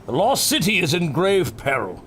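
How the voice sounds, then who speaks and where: Man playing a swooping, genteel yeti gentleman. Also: high-pitched, very animated, normal speed, a little hiss or hum. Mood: grave, urgent. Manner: polite, well-spoken, exclamatory. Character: civil, gentlemanly male Yeti, last ancient guardian. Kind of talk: audio drama acting.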